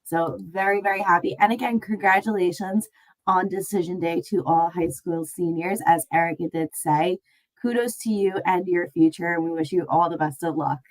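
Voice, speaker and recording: A woman's voice: lowish voice, fairly even intonation, moderate pace, poor audio. A woman nutrition educator giving an online class, upbeat and chatty, sounding a little nasal and congested after laryngitis. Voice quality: slightly nasal. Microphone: video call.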